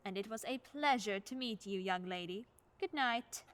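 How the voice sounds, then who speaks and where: A woman playing an elderly widowed neighbor in her seventies in a radio play, speaking gently and plainly with a wry edge. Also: medium pitch, moderate pace, lightly acted. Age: 70s. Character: kindly widowed old woman neighbor, churchgoing.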